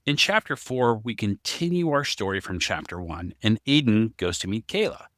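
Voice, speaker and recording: A male podcast co-host in relaxed back-and-forth discussion. His voice sits fairly low yet cuts through brightly, with a dry, close recording. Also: normal speed, dry recording. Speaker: podcast co-host, story writer and amateur voice actor.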